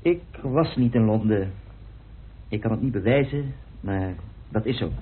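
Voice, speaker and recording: An actor playing a guarded murder suspect in a radio play, answering tersely and coolly denying everything. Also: male voice, on the low side, animated, a little slow. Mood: defensive. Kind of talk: radio play acting in Dutch. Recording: dull, noisy old radio recording.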